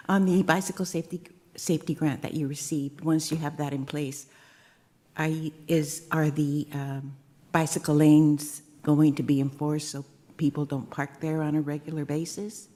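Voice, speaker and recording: A woman's voice: sits low, little pitch movement, a little slow, dark tone, long reverb tail. A woman on the committee asking a hesitant question at a public meeting, restarting as she goes. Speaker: committee member. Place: council chamber.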